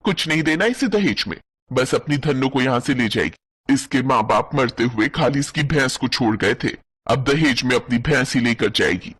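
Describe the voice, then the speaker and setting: A man's voice: medium pitch, lively intonation, normal speed, fairly bright tone, breathy edge. A man voicing a stingy, bossy older village aunt, snapping dismissively in an audio folk story. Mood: bossy, irritated.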